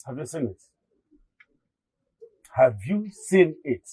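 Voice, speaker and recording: High, husky, furious Nigerian king. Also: expressive, slow, mellow, no echo, heavily acted, poor audio. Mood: bitter, exasperated. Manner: declamatory, repeats commands for emphasis. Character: stern, imperious older king, an angry father. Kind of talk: Nollywood royal drama acting.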